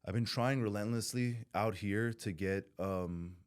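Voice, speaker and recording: A man's voice: lowish voice, measured pace, clean tone. A man from Southern California speaking as a podcast guest, talking candidly and bluntly, swearing freely and restarting his sentences as he rambles.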